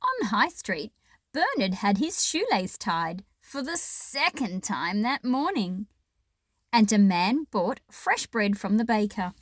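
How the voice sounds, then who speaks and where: A woman's voice: mid-range voice, very animated, slow pace, no echo, clean studio sound. A woman reading a children's picture book aloud expressively, with explanatory asides to young listeners.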